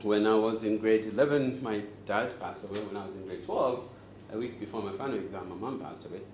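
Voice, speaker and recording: Zambian guy talking to a live crowd, lowish voice, jokes a lot, echoey room with noise behind. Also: a little fast, a little mellow. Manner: joking and engaging, quizzes the crowd. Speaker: host and speaker. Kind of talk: live talk to an audience. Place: event room with audience.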